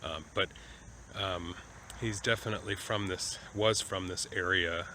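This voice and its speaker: A man talking casually to camera as a travel vlogger, informal and halting, thinking aloud as he goes. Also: low-pitched, bright, forceful tone, a little hiss or hum. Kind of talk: casual vlog talk to camera. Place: outdoors in a cemetery for much of it.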